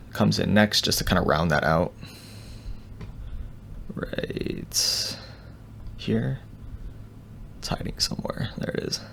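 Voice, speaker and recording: Low-pitched, gravelly man explaining casually. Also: fairly bright tone. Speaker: audio engineer giving a tutorial. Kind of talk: casual unscripted walkthrough.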